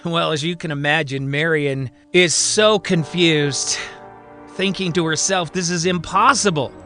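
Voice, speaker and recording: A man's voice: on the high side, steady tone, unhurried, fairly bright tone, faint music behind. A man telling a scripted story as a podcast storyteller, with a theatrical, emphatic and suspenseful delivery. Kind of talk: dramatic scripted story narration.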